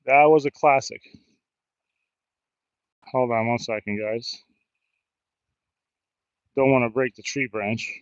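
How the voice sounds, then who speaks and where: A man's voice: mid-range voice, slow pace, long pauses, no echo, highs cut off. A man with an American accent chatting casually on an outdoor live stream, rambling and joking at his own expense. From New Jersey, United States. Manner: rambling, self-deprecating, joking. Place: outdoors in a river in a park.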